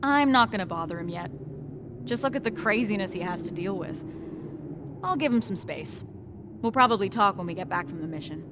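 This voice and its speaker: A woman's voice: medium pitch, lively intonation, brisk, lightly acted. A woman playing a determined, caring young resistance fighter in a dramatic, conversational video game cutscene. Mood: firm, caring. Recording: clear background noise, vehicle-like ambience.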